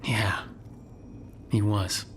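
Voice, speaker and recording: Steady, resolute fantasy hero, noisy ambience. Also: male voice, fairly low pitch, extremely slow. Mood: calm, earnest. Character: steady, resolute adult male fantasy adventurer leader. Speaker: video game protagonist. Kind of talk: acted game dialogue. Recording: game audio with clear background ambience.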